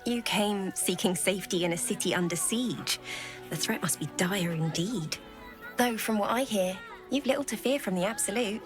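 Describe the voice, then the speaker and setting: A woman playing a composed, probing journalist in a fantasy video game, putting pointed questions in a measured manner. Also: mid-range voice, natural voice. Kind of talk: video game dialogue acting.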